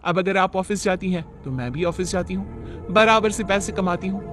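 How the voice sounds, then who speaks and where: Young working wife , played by a man: high voice, argumentative, fed up, cartoon moral tale. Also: fairly quick, fairly bright tone, soft background music. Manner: complaining, argumentative. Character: defiant, fed-up young working daughter-in-law. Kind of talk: cartoon moral story acting.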